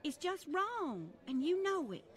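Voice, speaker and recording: A woman's voice: very high-pitched, big pitch swings, a little slow, exaggerated voice. A woman playing a genteel older society lady, fussy, flustered and condescending, in an old-time radio style drama. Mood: anxious, disapproving.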